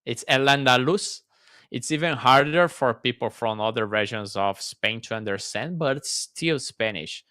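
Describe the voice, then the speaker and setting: A man's voice: mid-range voice, normal speed, pushed and bright, clear, resonant tone, no echo, studio quality. A man hosting a casual interview chat, talking in an enthusiastic, question-asking way. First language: Portuguese. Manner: chatty, enthusiastic, asks questions. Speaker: host.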